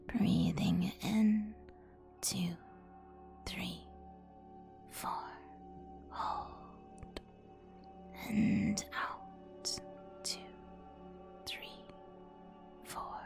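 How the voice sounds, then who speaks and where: A woman whispering an ASMR guided meditation as its host, in a soothing, instructional manner with long pauses. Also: on the low side, huge pitch swings, creaky, darkish tone. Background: music.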